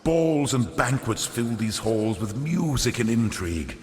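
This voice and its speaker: Pompous old merchant lord, cavernous reverb. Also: male voice, medium pitch, very animated, measured pace. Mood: wistful, grandiose. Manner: pompous, theatrical, ornate aristocratic diction. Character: wily, vain old merchant lord, centuries old. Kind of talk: scripted video game character monologue. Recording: echoey hall with long reverb.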